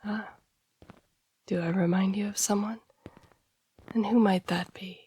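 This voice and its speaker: A woman playing a devoted, courteous masked assassin and royal guard in an audio roleplay, speaking in hushed, breathy, formal tones. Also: medium pitch, fairly even intonation, unhurried. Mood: guarded, tender. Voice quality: breathy, husky. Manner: formal, deferential, hushed speech. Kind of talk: intimate audio roleplay acting.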